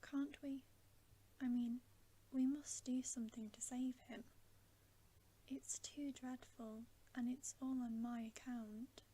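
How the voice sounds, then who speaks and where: A woman's voice: high-pitched, fairly even intonation, slow pace, warm tone, breathy edge, exaggerated voice. A woman whispering the part of an earnest, worried young girl who pleads, in a storybook reading. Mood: pleading.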